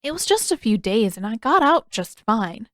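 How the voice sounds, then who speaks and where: A woman's voice: on the high side, very animated, normal speed, no echo, clean studio sound. A woman voicing an earnest, sheepish young boy in an audiobook, hesitant and a little defensive. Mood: insistent.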